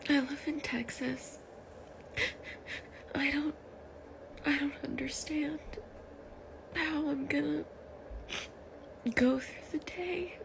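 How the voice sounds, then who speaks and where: A woman's voice: high-pitched, fairly even intonation, takes it slowly, pauses often. A woman from the United States confiding in a distressed, whispery voice, near tears. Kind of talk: emotional confession to camera. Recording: clear ambient background noise.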